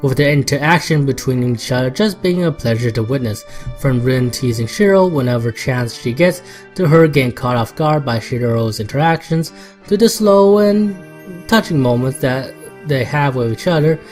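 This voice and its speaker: A man delivers a scripted game review in a voice of medium pitch. He speaks as a reviewer presenting his assessment, with music playing faintly behind him. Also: expressive, moderate pace, a little mellow.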